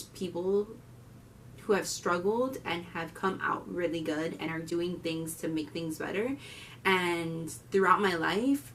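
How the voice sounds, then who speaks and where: A woman in her twenties from Miami with a Miami accent, talking candidly to camera on her vlog with loud bursts. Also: on the low side, far from the mic, a little hiss or hum. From Miami, Florida. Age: 20s. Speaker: vlogger. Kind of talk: casual talk to camera.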